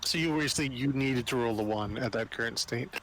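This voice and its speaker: A man's voice: mid-range voice, a little fast, fairly bright tone, slight background noise, rough recording. A man chatting casually as a player in a tabletop role-playing game.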